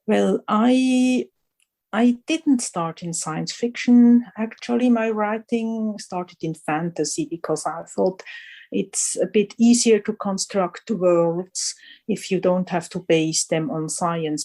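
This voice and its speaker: A woman's voice: fairly low pitch, creaky, a little mellow. A woman interviewed as a guest editor, answering thoughtfully and hesitantly, often restarting her phrases.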